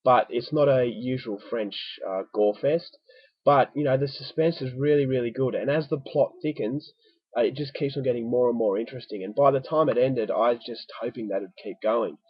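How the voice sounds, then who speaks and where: A man's voice: medium pitch, moderate pace, breathy edge, no room sound. A man with a stuffy, congested voice, reviewing a film in a casual chatty way and repeating words for emphasis. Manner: conversational, doubles words for emphasis. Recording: dull, dry lo-fi home recording.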